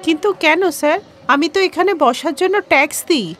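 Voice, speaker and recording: High-pitched, worried poor mother acted by a woman. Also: moderate pace, a bit bright. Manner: pleading, worried. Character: poor anxious hardworking mother, a street snack seller.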